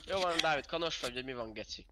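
A man's voice: mid-range voice, expressive, bright, forceful tone, slight background noise. A man bantering crudely with friends during an online roleplay game, swearing casually.

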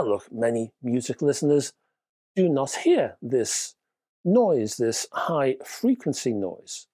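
A man's voice: on the high side, darkish tone, no echo. Middle-aged man, congested cold voice, chatty and dry-humored, close dry lapel sound. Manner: chatty, wry, emphatic, leans on key words. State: stuffy nose, wheezy from a cold. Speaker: hi-fi reviewer, channel host. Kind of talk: casual to-camera review. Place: home room lined with record shelves. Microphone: lapel mic.

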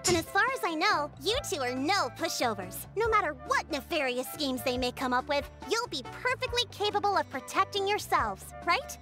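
Courteous female chief, high-pitched, poised, formal explanatory game dialogue over clear music. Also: very animated. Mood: courteous, assured. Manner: formal, measured explanatory phrasing. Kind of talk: video game character acting.

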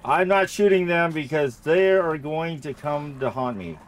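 A man giving casual let's-play commentary, thinking out loud and repeating himself as he goes. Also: on the high side, lively intonation, a little slow. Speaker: gamer playing and commenting on a horror game.

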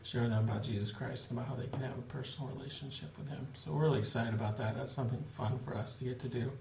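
A man in his forties giving a relaxed, plain-spoken video update to supporters from a small home office, with frequent fillers. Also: medium pitch, racing, gravelly, background noise. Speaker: missionary giving a family update. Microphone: webcam at desk. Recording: roomy webcam audio with faint ambience.

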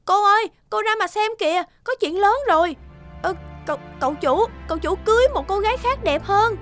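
A woman's voice: very high voice, a little fast, fairly bright tone, no room sound, faint music behind. A woman playing a timid, respectful young maidservant in a cartoon, deferential and a little hesitant. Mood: alarmed.

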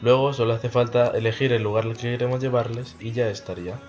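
A man's voice: mid-range voice, steady tone, fast talker, a little mellow, dull audio. A young man with an accent from Spain, presenting a casual video game tutorial as host over background music.

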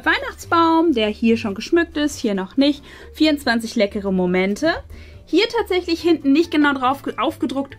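High-voiced woman chatting. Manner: chatty, presenting items while handling them. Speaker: host of a craft and unboxing channel. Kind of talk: casual unboxing chat to camera. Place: small decorated room indoors. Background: background music.

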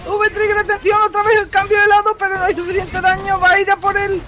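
A man doing fast, excitable play-by-play commentary on a fighting game, joking and shouting at big moments. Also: very high voice, a crowd in the background. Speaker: esports commentator.

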